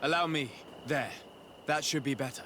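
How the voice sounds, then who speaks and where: An actor playing an earnest, courteous diplomat in a fantasy video game, polite and assured. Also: male voice, a little high, moderate pace, bright, forceful tone, a little hiss or hum. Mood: calm. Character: young male diplomat legate, earnest and courteous.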